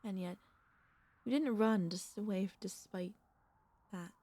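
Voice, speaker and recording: A woman voicing a centuries-old vampire mistress, a tender, possessive girlfriend, speaking softly and intimately with courtly wording and hesitant pauses in an ASMR roleplay. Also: mid-range voice, no room sound, lightly acted. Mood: wistful, tender. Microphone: close studio microphone, dry.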